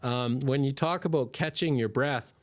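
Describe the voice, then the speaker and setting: A male stock-investing host chatting excitedly through a livestream Q&A, rambling and restarting as he goes, his voice slightly hoarse. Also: mid-range voice, moderate pace.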